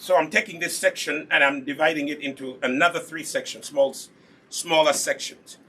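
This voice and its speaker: A man's voice: fairly high pitch, steady tone, normal speed, fairly bright tone, slightly rough. A man from Uganda with a Ugandan accent, hosting a casual live hair care demonstration in an instructive way, repeating key points. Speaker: host, natural hair product seller and demonstrator.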